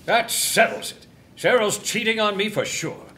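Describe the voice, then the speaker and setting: A man's voice: sits high, normal speed, natural voice. A man playing a pompous, dim, sarcastic crew member in a sci-fi comedy table read, with faint room noise. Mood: dramatic.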